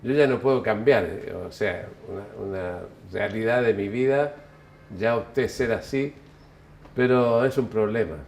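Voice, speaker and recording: An older man from Chile, interviewed, musing on his life in an unhurried, reflective way that often corrects itself. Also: fairly low pitch, vocal fry, slight background noise. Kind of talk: relaxed reflective storytelling.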